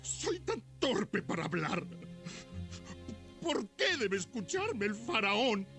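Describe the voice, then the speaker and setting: Moses, slow, hesitant, pleading. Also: male voice, high-pitched, sing-song, exaggerated voice. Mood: doubtful pleading. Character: Moses, reluctant, self-doubting man pleading with God. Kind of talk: dramatized audio Bible acting. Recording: loud music under a quiet voice.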